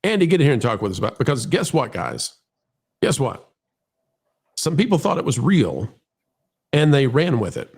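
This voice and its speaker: A man's voice: mid-range voice, very animated, moderate pace, long pauses, breathy edge. A man hosting an opinionated talk show, speaking emphatically and repeating himself for effect. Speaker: host of an entertainment news talk show. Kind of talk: opinionated commentary to co-hosts and audience.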